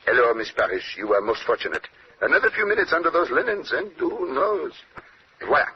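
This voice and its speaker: Man acting a courtly French-accented detective, lively pitch. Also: brisk, natural voice. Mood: composed, courtly. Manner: formal, courtly phrasing with French exclamations. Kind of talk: vintage radio drama acting. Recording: dull, noisy old radio broadcast.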